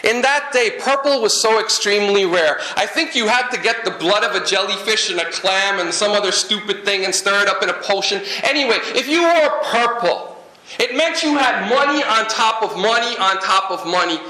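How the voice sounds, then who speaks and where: A man from Guyana preaches in a lively way, mixing jokes and asides into his sermon. His voice sits high and bright. It rings through an echoey church hall. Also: normal speed. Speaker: pastor.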